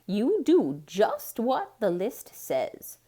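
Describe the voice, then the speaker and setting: A woman voicing a fussy, apologetic lady of the house in a storybook, with emphatic, drawn-out words. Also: medium pitch, huge pitch swings, warm tone, pitched above the performer's own voice, exaggerated voice. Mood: flustered, instructing.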